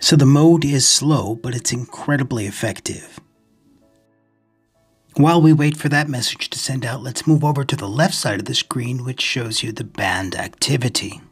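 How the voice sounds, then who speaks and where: A man narrating an explanatory screen-recording video as host, in a measured, deliberate manner with a husky, gravelly voice. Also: mid-range voice, soft background music. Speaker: ham radio video host.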